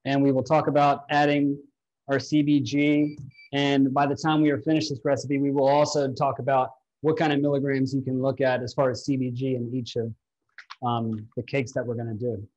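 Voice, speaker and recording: A man's voice: medium pitch, flat delivery, moderate pace, darkish tone. A man in his thirties leading a live cooking demonstration in a large kitchen, explaining each step to viewers from a distant camera. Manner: instructive, walks viewers through steps. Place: large home-style kitchen, indoors. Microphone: far camera. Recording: dull lo-fi livestream audio.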